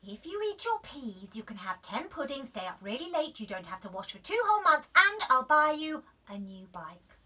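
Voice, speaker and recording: A woman's voice: high voice, sing-song, normal speed, few pauses, pushed and bright, husky, voice pushed up, exaggerated voice. A woman voicing an exasperated mum in a children's storybook, coaxing and bribing in gushing lists. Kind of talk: children's storybook character reading.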